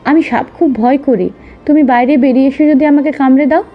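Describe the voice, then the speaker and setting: A woman's voice: high-pitched, steady tone, fairly quick, highs cut off, faint music behind, pitched above the performer's own voice, close to an everyday voice. A woman voicing a timid, kind Brahmin man in a children's story. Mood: fearful.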